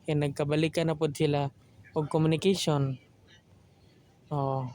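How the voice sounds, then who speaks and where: Filipino man, casual joking host, fairly high voice, unhurried and monotone, faint-to-clear background noise. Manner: joking, colloquial. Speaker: host of an audio drama channel. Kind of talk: casual rambling intro chat.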